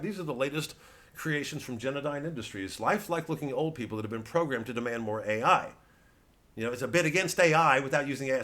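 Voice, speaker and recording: A man's voice: mid-range voice, pushed and bright. A male comedian delivering a ranting, conversational monologue, profane and sarcastic, with open scorn. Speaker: satirical comedian. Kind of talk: ranting comedic monologue, part casual chat.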